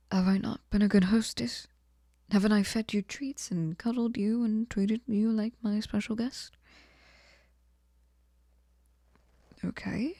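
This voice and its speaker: Woman as obsessive sweet fairy for ASMR roleplay: soft mellow tone, unhurried, coaxing and whispery, close and dry. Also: medium pitch, no room sound. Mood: pleading, lonely. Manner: breathy cooing, often dropping into whispers, coaxing. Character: sweet, clingy, possessive female fairy hostess, lonely and obsessive. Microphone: close microphone, dry sound.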